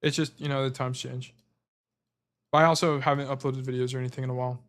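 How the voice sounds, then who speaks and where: A man's voice: medium pitch, level tone, fast talker, a bit bright. A man coaching as a stream host, reviewing gameplay in a casual, rambling way while losing his voice. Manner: casual, rambling, filler-heavy explanations. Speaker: game coach.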